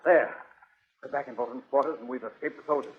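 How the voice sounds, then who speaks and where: An actor playing a brave, defiant young space hero, earnest and gallant in 1930s radio serial style. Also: male voice, mid-range voice, fast talker, husky, lightly acted. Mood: urgent, gallant. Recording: tinny, noisy 1930s radio recording.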